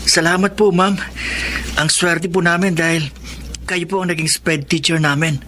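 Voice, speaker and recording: Low-pitched polite schoolboy. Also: female voice, fairly even intonation, moderate pace, pushed and bright, slight background noise. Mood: polite, grateful. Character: polite, curious young boy student. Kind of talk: Filipino radio drama acting.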